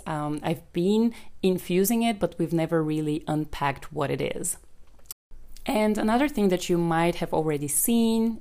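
A woman's voice: lowish voice, a little mellow. A young woman from Bulgaria, a Bulgarian native speaker, hosting her own podcast and explaining a method in a chatty, conversational way with frequent ums. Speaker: podcast host and business coach.

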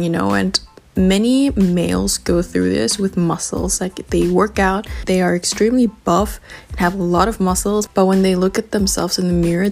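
A young woman hosting a casual solo podcast, candid, informal and slangy, with a slightly raspy just-woke-up voice. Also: fairly low pitch, darkish tone. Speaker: podcast host.

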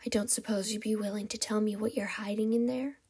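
A woman's voice: a little high, steady tone, dark tone, full character voice. Woman voicing a ghostly, hissing male villain. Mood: sinister mocking. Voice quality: breathy hissing whisper. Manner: taunting, drawn-out menace. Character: ghostly, menacing male kidnapper, hollow and mocking.

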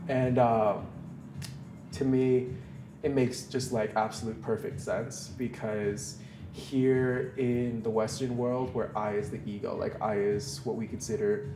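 A man's voice: fairly low pitch, little pitch movement, a little slow, roomy sound, background noise. A man whose first language is Mandarin, talking in a casual, reflective way as a podcast guest, rambling with many fillers and restarts.

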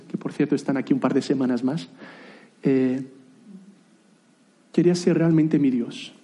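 Preacher, thirties, male, Portuguese native; higher-pitched, mellow voice, chatty sermon delivery with restarts. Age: 30s. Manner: conversational, self-deprecating asides, frequent restarts.

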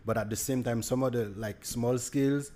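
A man's voice: medium pitch, fairly even intonation, moderate pace, warm tone. A young man with a Haitian accent, talking casually as a podcast guest, with many fillers and restarts. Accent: Haitian Creole. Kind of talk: casual conversation.

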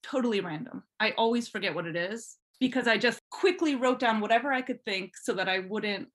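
A woman's voice: on the high side, fairly quick, fairly bright tone. Woman guest on a podcast just chatting away, says like a lot, telling her story. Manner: chatty and informal, peppered with "like". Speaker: podcast guest, fan fiction writer. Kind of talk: casual chat, telling personal story.

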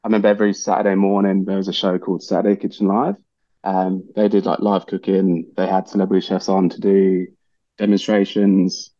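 A man's voice: low voice, a little fast, no room sound, lo-fi sound. A man from England whose accent has picked up some Australian twang, a chef chatting casually as a podcast guest and laughing easily. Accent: English with some Australian twang. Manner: relaxed, rambling, many fillers, laughs easily. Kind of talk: casual interview chat.

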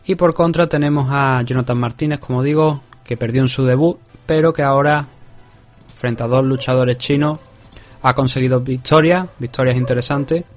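A man hosting a podcast, giving measured, conversational commentary that previews sporting events in an explanatory way. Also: mid-range voice. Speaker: podcast host.

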